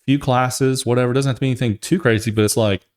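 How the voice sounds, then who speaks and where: A man's voice: mid-range voice, fairly even intonation, fast, darkish tone. A man hosting a gaming show, giving casual, opinionated commentary in a rambling, emphatic way and often repeating his phrases.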